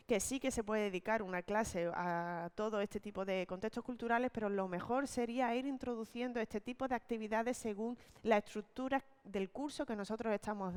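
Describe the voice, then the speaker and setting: A woman leading an online teacher-training talk, explaining step by step and often checking in with quick tag questions. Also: on the high side, a little mellow, clean tone. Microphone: video call.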